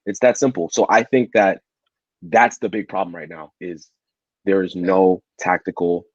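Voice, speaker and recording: Man, low husky voice, blunt emphatic football pundit, dull dry recording. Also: fairly even intonation, normal speed, no room sound. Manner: emphatic and blunt, repeats words as he builds a point. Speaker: podcast co-host. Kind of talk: casual football debate. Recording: dull, muffled audio.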